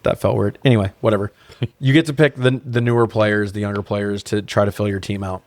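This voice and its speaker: Guy with kinda low voice on a movie podcast, swears a lot, blunt, really dry sound. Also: fairly even intonation, a little fast, no echo. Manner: profane, blunt. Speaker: podcast co-host. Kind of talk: casual film review chat.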